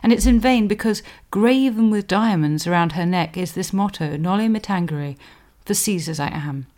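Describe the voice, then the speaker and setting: A woman presenting a scripted history explainer in a measured, scholarly manner, giving emphasis to quotations. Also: mid-range voice, a little mellow. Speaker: podcast host, historian.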